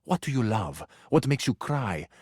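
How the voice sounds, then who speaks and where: An actor playing a flowery, sensual, effusive Italian suitor who flirts overzealously in a video game, with an Italian accent. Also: male voice, medium pitch, sing-song, moderate pace, darkish tone, breathy edge, dry recording, studio quality. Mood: amorous, flirtatious.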